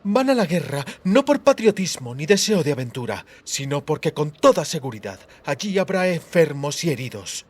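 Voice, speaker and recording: A man voicing a stern, sarcastic high priestess who speaks brusquely and with authority. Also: big pitch swings, moderate pace, hardly any pauses. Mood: firm, lecturing.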